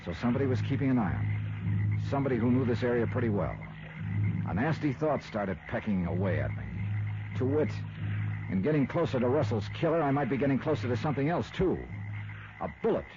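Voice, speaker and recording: An American actor playing a wry, suspicious insurance investigator with clipped, probing delivery in a 1950s radio detective drama. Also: male voice, medium pitch, animated, fairly quick, natural voice. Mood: tense, brooding. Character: hard-boiled, suspicious male insurance investigator. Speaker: lead actor and narrator. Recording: lo-fi old radio recording, loud noise.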